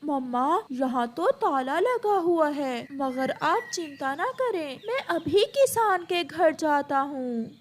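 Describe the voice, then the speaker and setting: Woman as a high-voiced brave little boy bird. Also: animated, takes it slowly, hardly any pauses. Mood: earnest. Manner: earnest, childlike.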